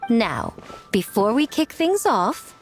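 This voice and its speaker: A poised young female master of ceremonies in an anime game. She speaks formally and graciously in a fairly high, animated voice. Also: takes it slowly, soft background music, exaggerated voice. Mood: gracious, upbeat. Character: poised young woman, gracious festival host. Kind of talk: formal announcement in video game.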